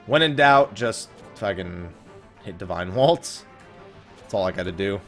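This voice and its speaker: A man's voice: fairly low pitch, lively intonation, a little slow, a bit bright, soft background music. A man giving casual let's play commentary on a video game, swearing and griping with sarcasm. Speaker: host of a gaming let's play series.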